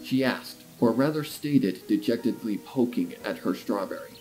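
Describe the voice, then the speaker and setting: A man's voice: fairly low pitch, expressive, normal speed, dark tone, faint music behind, poor audio. A man reading scripted story narration as the narrator of a tale.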